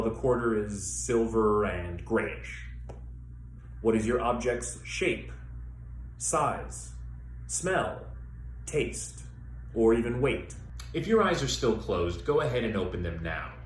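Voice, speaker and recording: A man with a fairly low voice and animated intonation leads a guided exercise as a teacher. He gives measured instructions one step at a time, leaving pauses. The room is echoey, with a little noise behind him. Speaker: theater educator leading an exercise.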